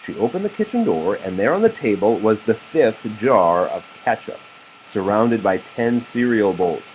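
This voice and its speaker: A man's voice: on the low side, big pitch swings, moderate pace. A man reading a children's story aloud as a father to his child, with a patient manner. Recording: slightly muffled, faint background noise.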